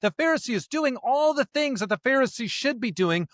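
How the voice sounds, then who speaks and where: A man's voice: extremely high pitch, lively intonation, a bit bright, no room sound. Emphatic man podcasting, close dry sound. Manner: emphatic, halting, often adds rhetorical "right?". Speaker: podcast co-host. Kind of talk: conversational scripture discussion.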